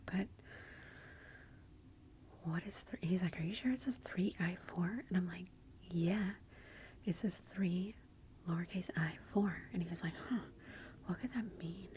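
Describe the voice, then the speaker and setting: A woman's voice: fairly low pitch, moderate pace, pauses often, slight background noise. A woman, whispering a rambling, amused story in ASMR style. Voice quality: soft whisper. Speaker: ASMR vlogger.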